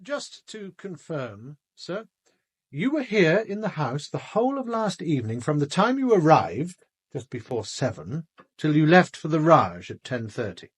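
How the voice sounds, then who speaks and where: A British police inspector in a radio drama, formal and pointed. His slightly high, rough voice swings noticeably in pitch as he questions, on a dry recording. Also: sing-song, measured pace, no echo, exaggerated voice. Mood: pointed, probing.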